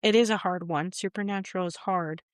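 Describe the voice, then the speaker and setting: A woman's voice: lowish voice, fairly even intonation, a little fast, smooth, no echo. Woman cohosting a podcast, rambly and unsure, laughs a lot, dry close mic. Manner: rambling, hesitant, laughs often. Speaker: podcast co-host. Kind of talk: casual chat.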